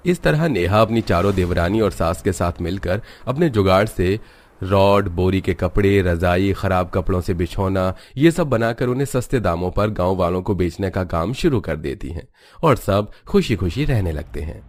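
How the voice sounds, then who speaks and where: A man with a very low voice narrates a cartoon story. He reads from a script as the storyteller. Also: animated, normal speed, a little mellow.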